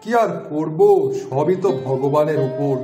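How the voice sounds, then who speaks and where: A man's voice: on the high side, unhurried, mellow, natural voice. A man playing a worried, resigned poor village fisherman in a cartoon, with a complaining way of talking. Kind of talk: Bengali moral-story cartoon dialogue. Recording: echoey, clear music underneath.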